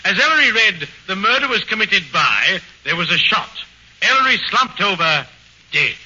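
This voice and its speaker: An anxious fussy publisher. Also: male voice, sits high, sing-song, normal speed, pushed and bright, slightly rough, heavily acted. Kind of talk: 1940s radio comedy acting. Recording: muffled 1940 radio broadcast, faint hiss.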